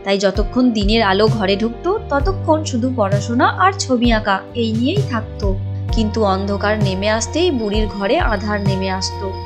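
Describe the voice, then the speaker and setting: A woman's voice: medium pitch, lively intonation, moderate pace. A woman reading scripted storybook narration for a cartoon as its narrator. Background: music.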